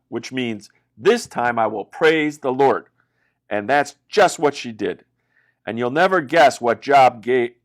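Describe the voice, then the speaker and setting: A man reading a children's Bible story as a pastor, in a dramatic, expressive way with exaggerated emphasis. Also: medium pitch.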